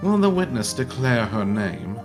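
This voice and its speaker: A man voicing an exasperated, snide prosecutor in a courtroom game, halting and pointed when flustered. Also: medium pitch, sing-song, music in the background. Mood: strained patience. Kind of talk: playful amateur voice-over of a courtroom game.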